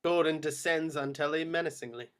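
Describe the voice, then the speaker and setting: A man's voice: fairly high pitch, a little fast, fairly bright tone, clear, resonant tone. A man reading screenplay action descriptions as scripted narration at a table read. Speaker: reader of stage directions.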